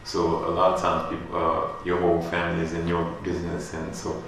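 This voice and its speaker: A man's voice: sits low, monotone, fairly quick, a bit bright. A man from France talking loosely about his life and work at a gallery artist talk, rambling with many fillers. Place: gallery space. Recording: echoey room, distant mic, faint hum.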